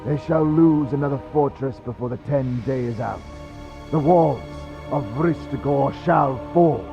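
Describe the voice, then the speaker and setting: An actor playing a hostile, cold alien war commander in a fantasy game, speaking in an imperious, formal, clipped way. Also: male voice, mid-range voice, unhurried, gravelly, highs cut off, music in the background. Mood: cold, commanding. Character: hostile, militaristic Githyanki commander, cold and contemptuous. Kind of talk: fantasy video game acting.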